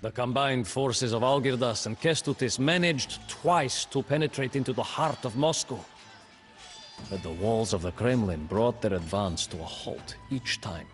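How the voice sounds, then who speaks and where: An actor narrating a game campaign as a proud, ambitious young male prince, in slow, measured storybook narration. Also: medium pitch, close to an everyday voice. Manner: slow, measured, brooding delivery. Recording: faint music behind.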